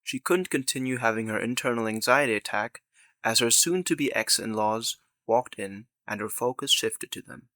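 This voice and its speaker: A man's voice: medium pitch, moderate pace, bright, forceful tone, no room sound. A man reading scripted narration for an audio drama as its narrator.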